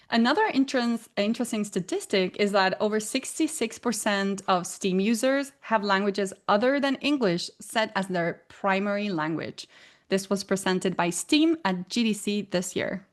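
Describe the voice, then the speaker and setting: A woman in her forties with an Argentine Spanish accent gives a presentation. She explains things in a clear, measured way, and her voice sits at an ordinary middle pitch. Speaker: guest presenter. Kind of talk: presenting a talk.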